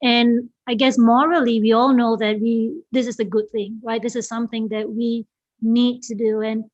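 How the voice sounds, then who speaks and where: Higher-voiced woman from Malaysia, presenting online. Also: flat delivery, moderate pace, darkish tone, no echo. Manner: hesitant, repeats phrases, often checks in with "right?". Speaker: event host and speaker. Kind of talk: informal presentation to an online group. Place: online video meeting. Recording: dull, slightly lo-fi online call audio.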